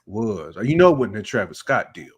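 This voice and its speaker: A man's voice: lowish voice, sing-song, brisk, crowd noise. A man with an American accent chatting casually as a podcast panelist, circling back and repeating phrases as he makes his point. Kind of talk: casual chat.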